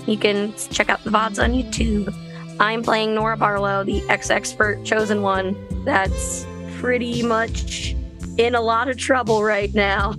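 A woman player introducing herself in a quick, matter-of-fact way. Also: medium pitch, level tone, over music.

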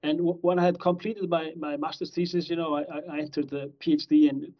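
A man's voice: fairly high pitch, fairly even intonation, fairly quick. A middle-aged man from Iceland, a professor being interviewed, who rambles with many fillers and restarts. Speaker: guest criminology professor. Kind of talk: conversational interview answers. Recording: slightly dull lo-fi audio.

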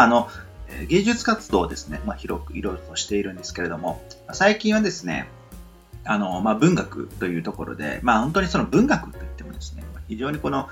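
A man hosting a solo podcast, rambling in short, halting phrases full of fillers as he thinks aloud. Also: fairly low pitch, a bit bright. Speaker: podcast host. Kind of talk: rambling solo radio talk.

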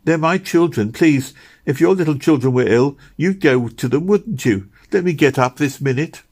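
A man voicing a distressed poor little girl who pleads urgently in a high, tearful voice. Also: steady tone, normal speed, close to an everyday voice. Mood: pleading.

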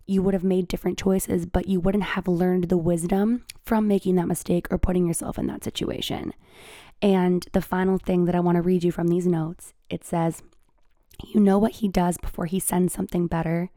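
Slightly raspy, mellow woman podcaster. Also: mid-range voice, no echo, clean studio sound. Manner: earnest, confiding, encouraging. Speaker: podcast host. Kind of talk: casual motivational solo podcast talk.

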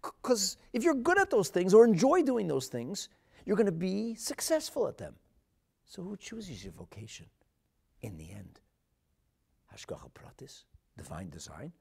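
A man with a North American accent giving a religious sermon as a rabbi, emphatic and dramatic, phrasing deliberately and repeating for effect. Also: sits high, huge pitch swings, gravelly, slightly rough. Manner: emphatic and dramatic, swinging from hushed asides to loud declarations; deliberate phrasing with rhetorical repetition. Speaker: rabbi and Torah teacher.

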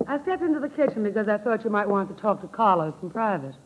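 A woman's voice: medium pitch, big pitch swings, quick pace, close to an everyday voice. Woman playing brazen dame on crackly old radio. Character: brazen, defiant woman, the suspect's sly lady friend. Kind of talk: 1940s radio drama acting. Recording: tinny old radio recording, faint crackle.

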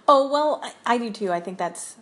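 A woman's voice: on the high side, lively intonation, normal speed. Chatty Midwestern woman podcaster. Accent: Midwestern American. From Ohio. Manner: chatty, laughs easily. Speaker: podcast co-host. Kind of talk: casual chat.

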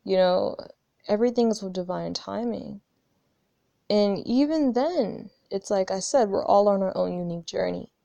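Gravelly-voiced young woman musing aloud. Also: medium pitch, moderate pace, dark tone, no echo, muffled recording. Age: 20s. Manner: rambling, candid, swears freely, frequent restarts. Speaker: spiritual vlogger. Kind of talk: casual reflective monologue.

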